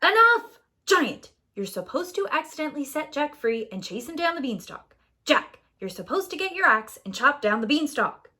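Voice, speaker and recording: Bossy storybook narrator, acted by a woman: exasperated, forceful, high and very animated. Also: normal speed, heavily acted. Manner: bossy, emphatic.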